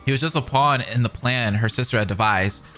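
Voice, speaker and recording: Man, reaction streamer, bright sharp tone, animated, dramatic narration, excited commentary. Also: mid-range voice, fairly quick. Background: faint music.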